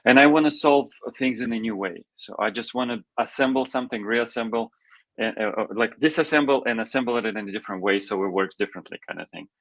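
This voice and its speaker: A man who grew up in Russia, answering interview questions in a halting way with many restarts. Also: fairly low pitch, fairly bright tone, no echo. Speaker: guest, immigration lawyer and founder.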